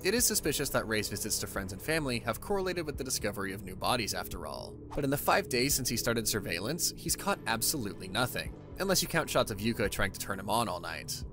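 A man's voice: fairly low pitch, very animated, fast talker, few pauses, over music. A man narrating a scripted story recap in an informal, wry storytelling manner.

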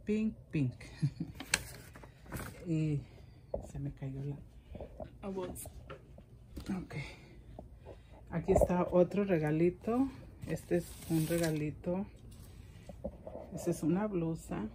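A woman's voice: lowish voice, takes it slowly, long pauses, background noise. A middle-aged woman chatting casually for a vlog, rambling and repeating her words. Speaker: vlogger. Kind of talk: casual gift-haul vlog chat. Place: indoors in a home room.

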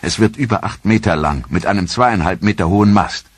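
A man with a deep, slightly husky voice narrates a history documentary from a script. His delivery is measured and even. The close studio recording is dry, with no room sound. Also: dry recording.

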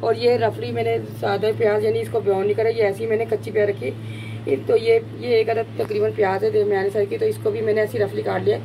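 Pakistani lady talking thru a recipe at her stove, slow and chatty, keeps rephrasing, noisy phone audio. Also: medium pitch, little pitch movement, mellow. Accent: Pakistani Urdu. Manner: homely, explains slowly with many restarts and "yani". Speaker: cooking channel host. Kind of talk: step-by-step cooking instructions. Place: home kitchen at the stove.

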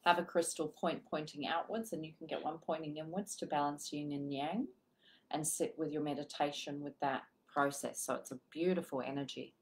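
Calm low-voiced woman healer. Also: moderate pace, low-quality recording. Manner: calm, flowing spiritual explanations. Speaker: guest healer. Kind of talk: live-streamed spiritual chat. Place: home room with a paper screen. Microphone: video call webcam.

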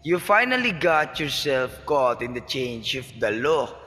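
A man's voice: mid-range voice, big pitch swings, a little slow, pushed and bright. A man playing an arrogant, wealthy middle-aged businessman and scornful father in an amateur radio drama, with a pompous, bullying manner. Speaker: voice actor. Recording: reverberant hall-like echo.

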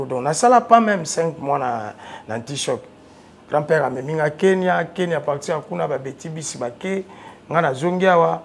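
A man with a Congolese French accent talks animatedly as a guest in a TV studio, getting louder as he warms to his stories. His voice sits on the higher side with a gravelly edge, and a faint hiss lies under the recording. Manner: animated storyteller, rising loud when excited. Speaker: interview guest, musician. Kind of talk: casual interview talk, mixing French and Lingala. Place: TV studio, seated on a sofa.

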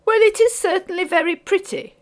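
A woman's voice: very high-pitched, fairly quick, voice pushed up. A woman voicing a prim, fussy older genteel mother in a storybook, critical and delicately scandalised. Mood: critical, hesitant. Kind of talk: audiobook character voice.

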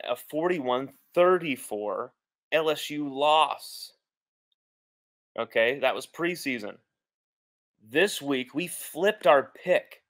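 A man's voice: medium pitch, fairly bright tone. A man in his thirties hosting a sports reaction show, speaking emphatically in choppy, repeated phrases. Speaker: host of a college football postgame show. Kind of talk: sports reaction commentary to webcam. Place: small living room, indoors.

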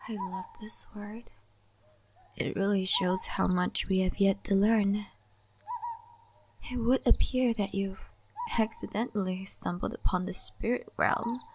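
A woman playing an ancient, wise and cryptic spirit-realm lady in a soft, breathy ASMR roleplay. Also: mid-range voice, fairly even intonation, a little hiss or hum, lightly acted. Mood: calm, mysterious. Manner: soft, breathy, often whispering, unhurried.

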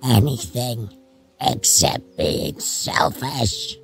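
Menacing inner tormentor, gravelly growl, slow accusing delivery, swooping intonation, audio drama. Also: male voice, big pitch swings, soft background music, exaggerated voice. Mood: cruel, accusing. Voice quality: very deep gravelly voice. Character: menacing, taunting inner voice, a deep sinister presence. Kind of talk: fan audio drama acting.

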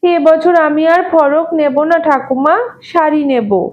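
A woman's voice: sits high, slow, muffled recording, voice pushed up. A woman voicing a cheeky, demanding young servant girl in a storybook reading, pert and insistent. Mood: eager, cheeky.

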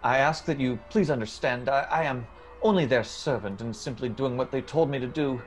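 A man's voice: mid-range voice, a little fast, soft background music, lightly acted. A man playing an apologetic company messenger in a radio drama, formal and hesitant. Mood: uneasy, solemn. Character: apologetic, uneasy company messenger bearing bad news.

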